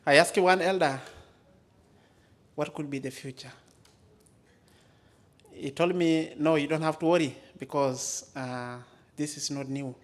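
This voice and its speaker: Higher-pitched East African man speaking emphatically. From Maasai areas of Kenya and Tanzania. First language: Maa. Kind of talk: storytelling conference speech.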